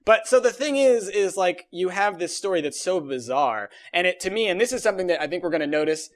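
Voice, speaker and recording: A man co-hosting a casual podcast chat, animated and given to acting out imagined dialogue. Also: high voice, quick pace. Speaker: podcast co-host.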